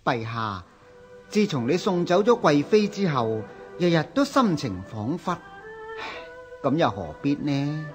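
An actor with a Hong Kong Cantonese accent playing an anxious, obsequious palace eunuch in a radio drama, hesitant and pleading. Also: male voice, fairly high pitch, very animated, measured pace, soft background music.

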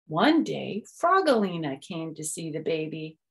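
A woman's voice: low-pitched, big pitch swings, a little slow, gravelly, a bit bright, slightly rough. A middle-aged woman hosting storytime, reading a picture book aloud and adding simple explanations for young children. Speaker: storytime host. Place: small room at home.

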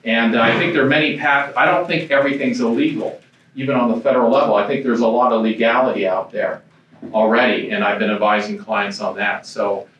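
A middle-aged man with a booming voice, a lawyer hosting a panel evening, giving welcome remarks to an audience in a conference room. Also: fairly quick, dull audio. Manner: energetic, gestures while speaking. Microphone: handheld mic. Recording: echoey room, mic picked up from far.

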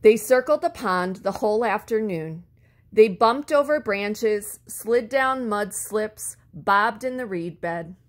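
A woman reading a children's story aloud expressively, with deliberate phrasing and words drawn out for effect. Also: medium pitch, expressive. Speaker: storybook reader.

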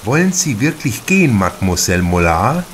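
A man's voice: fairly low pitch, very animated, a little fast, slight background noise. A man voicing a worried housewife and mother, polite and urging, in an audiobook.

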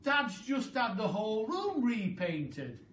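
A woman's voice: on the high side, big pitch swings, slow pace, voice pushed up. A woman voicing a young boy in a storybook, calling out in eager, pleading exclamations. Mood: excited, anxious. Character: young boy, excited and worried. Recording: roomy, distant mic, faint hiss.

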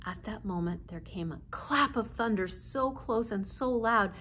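A woman's voice: mid-range voice, measured pace, fairly bright tone, slightly rough. A woman in her forties reading a children's story aloud expressively, seated indoors a short distance from the camera.

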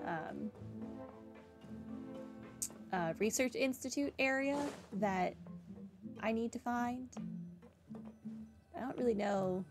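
Offhand woman streamer over game music. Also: mid-range voice. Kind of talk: casual playthrough commentary.

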